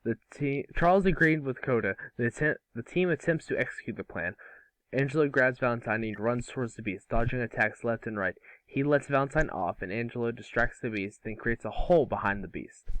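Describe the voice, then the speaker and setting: A man's voice: mid-range voice, a little fast, fairly bright tone, no room sound, low-quality recording. A man narrating a script in an amateur online table read. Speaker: narrator and series co-creator.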